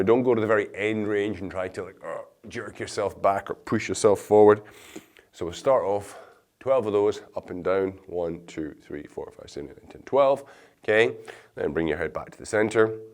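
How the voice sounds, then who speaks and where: A man's voice: deep voice, a little mellow. A man with a slightly nasal, congested voice from a cold, giving casual, reassuring step-by-step instructions as an exercise instructor in a video. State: blocked nose from a cold.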